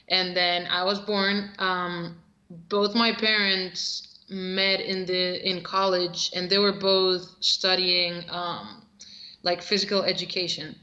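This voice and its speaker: A bright, clear-toned young woman from Costa Rica talks about her past in a relaxed way, often pausing on fillers and starting over. Her voice comes through a video call from a roomy, echoing space. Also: fairly low pitch, dull audio. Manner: relaxed storytelling with many fillers and restarts. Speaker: interview guest, professional soccer player. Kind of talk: casual video-call interview.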